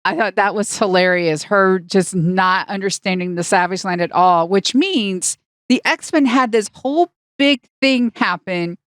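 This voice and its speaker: A woman's voice: medium pitch, measured pace, a bit bright, no room sound, studio quality. A woman co-hosting a podcast, giving wry, opinionated takes in a casual review chat. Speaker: podcast co-host. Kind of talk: casual review discussion of a cartoon.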